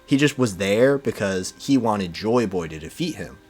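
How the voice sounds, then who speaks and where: A man's voice: lowish voice, lively intonation, moderate pace, darkish tone, slightly rough, faint music behind. A man in a casual, enthusiastic fan discussion, peppering his talk with "like" and "you know". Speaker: podcast speaker discussing manga.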